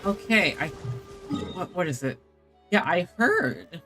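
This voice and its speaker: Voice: mid-range voice, big pitch swings, slow pace. A streamer giving casual gaming commentary, wry and hesitant, often stammering over words. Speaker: streamer playing a game. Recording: faint game music behind.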